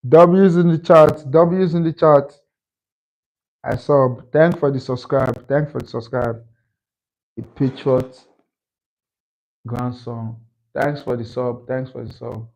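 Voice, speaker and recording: A man in his twenties hosting a live stream, thanking viewers and repeating shout-outs to the chat. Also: mid-range voice, fairly even intonation, slow pace, pauses often, highs cut off. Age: 20s. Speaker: livestream host.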